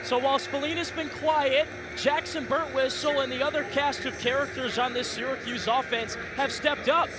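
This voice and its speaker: A man's voice: very high-pitched, fairly even intonation, normal speed, few pauses. An American man giving live lacrosse analysis as color commentator, with colorful, emphatic phrasing. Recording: very loud game noise behind commentary.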